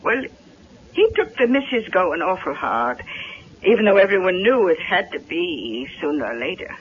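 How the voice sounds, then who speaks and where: A woman's voice: on the low side, big pitch swings, normal speed. An actress playing a motherly, fussing older housekeeper in a vintage radio drama, with folksy, coddling speech. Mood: soothing. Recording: muffled 1970s radio recording, faint noise.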